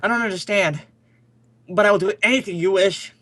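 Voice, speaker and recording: A man's voice: high-pitched, fairly quick, bright, forceful tone. A man playing an ardent, lovestruck young suitor in an old-time radio melodrama, earnest and declamatory. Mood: puzzled.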